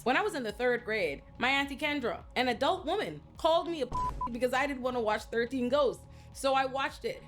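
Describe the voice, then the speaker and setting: A woman from the Bahamas, hosting a casual commentary video essay full of profane, comic asides. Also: a little high.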